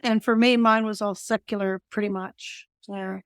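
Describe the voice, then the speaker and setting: A woman with a slightly squeaky voice, chatting on a faith podcast in a wry, self-deprecating way. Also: fairly even intonation, normal speed, smooth, no echo, clean studio sound. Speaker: podcast co-host. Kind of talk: casual faith discussion.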